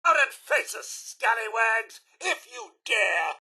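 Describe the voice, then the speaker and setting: A fussy, loyal robot butler from a video game, British and grandly formal. The voice sits high and is piercingly bright, with a raspy edge. It is pompous and eager to serve, delivered in an unhurried, theatrical way. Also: male voice, very animated, heavily acted. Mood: defiant, combative. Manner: formal, pompous, deferential, exclamatory. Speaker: companion servant in a video game.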